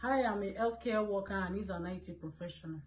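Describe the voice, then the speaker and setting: A woman's voice: medium pitch, fairly quick, a little mellow, slight background noise. A woman from Nigeria giving a cheerful, friendly introduction. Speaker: healthcare worker. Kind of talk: personal introduction. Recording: distant dull lo-fi recording.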